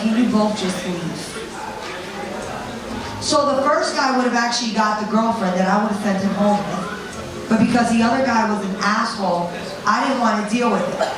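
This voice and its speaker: A woman giving an informal seminar talk, blunt and conversational, telling anecdotes and swearing freely. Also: medium pitch. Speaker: seminar speaker. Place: seminar room with an audience. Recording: very echoey room, distant mic, audience noise.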